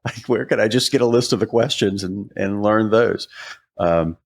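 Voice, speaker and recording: A man with a somewhat low voice chats as a podcast co-host. His commentary is casual and conversational, like informal talk with a colleague. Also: moderate pace.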